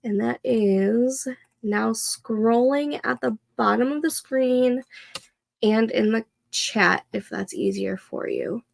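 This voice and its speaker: A congested woman in her thirties chats unhurriedly while she works on her planner. Her voice has a touch of fry and sounds a little nasal, close and dry on the mic. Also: on the high side, no room sound. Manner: slow, chatty, talks to herself while setting up a planner. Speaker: co-working livestream host. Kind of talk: casual chat while working.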